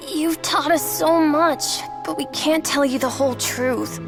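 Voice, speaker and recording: A woman's voice: high voice, measured pace. A woman voicing an earnest, apologetic young magician's protégé in a video game, hesitant and sincere. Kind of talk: dramatic video game voice acting. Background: music.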